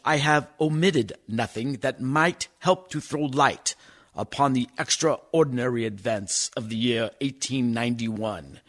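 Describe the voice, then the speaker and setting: An actor playing a grave doctor who formally presents evidence in a radio play, in a measured manner. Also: male voice, medium pitch, a bit bright.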